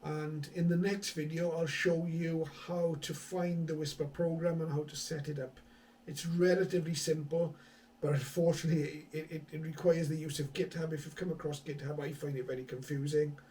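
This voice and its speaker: A man's voice: a little high, flat delivery, moderate pace, few pauses, darkish tone, distant mic, slight background noise. A man presenting a hobby video in a casual, conversational explaining style, with some hesitant thinking aloud. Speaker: hobby radio vlogger, presenter. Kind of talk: casual tech explainer to camera.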